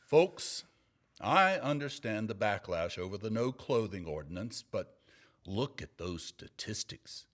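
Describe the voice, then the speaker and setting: A man playing an earnest, reassuring TV news anchor with measured, deliberate delivery in a comedy sketch. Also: lowish voice, very animated, fairly bright tone. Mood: earnest, preachy. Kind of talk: comedy sketch acting, mock newscast.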